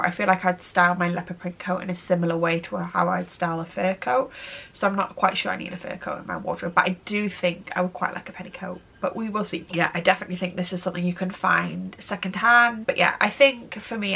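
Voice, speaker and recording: A woman's voice: mid-range voice, gravelly, fairly bright tone. A woman in her thirties with a cold, sounding a little nasal and stuffy, chatting casually in a fashion vlog and often doubling up "really, really". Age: 30s. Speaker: fashion vlogger.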